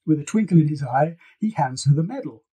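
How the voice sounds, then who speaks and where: A man's voice: a little high, lively intonation, a little fast, mellow, slightly rough. A man reading scripted fiction as an author narrating an audiobook. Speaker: author reading own novel.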